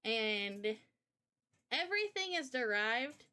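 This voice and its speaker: A woman's voice: a little high, big pitch swings, takes it slowly, pushed and bright. A woman co-hosting a live Q&A in casual chat, with an informal, rambling way of telling stories. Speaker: co-host of a live Q&A.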